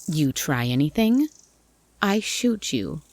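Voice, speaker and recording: A woman playing a gruff, wary cabin dweller, blunt and grumpy, in a horror audio roleplay. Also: low-pitched, sing-song, slow, a little mellow, heavily acted. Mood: grudging, wary. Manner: blunt, grumpy, foul-mouthed.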